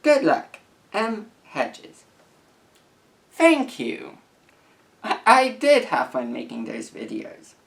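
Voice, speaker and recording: A young man in his late teens speaking as a video creator in a casual vlog, reading messages aloud in an earnest, careful, halting way. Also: medium pitch, huge pitch swings, creaky, a bit bright.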